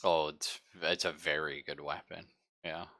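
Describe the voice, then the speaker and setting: A man acting as game master in a tabletop roleplaying game, describing things conversationally and haltingly as he thinks aloud. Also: very deep voice, flat delivery, pushed and bright. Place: live-streamed game session.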